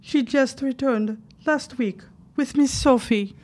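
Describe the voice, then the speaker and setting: A woman acts out a devoted, frightened wife with a high voice. She pleads earnestly in formal, old-fashioned phrasing, as in a period audio drama. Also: slow pace, darkish tone. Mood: imploring.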